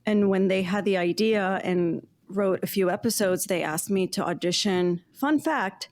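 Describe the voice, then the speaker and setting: Woman, slow-paced, middle pitch, casual interview, actress recalling. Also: darkish tone. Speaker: actress, cast member.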